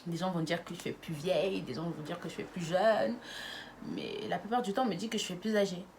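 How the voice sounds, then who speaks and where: A woman's voice: on the low side, fairly even intonation, brisk, darkish tone, a little hiss or hum. Chatty giggly young woman vlogging. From Lyon area, France. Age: 20s. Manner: chatty, giggly, many fillers. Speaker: YouTuber. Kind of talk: casual vlog chat.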